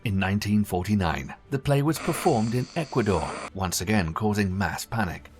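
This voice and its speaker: A man reading scripted documentary narration in a measured, formal way. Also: on the low side, expressive, darkish tone, faint music behind.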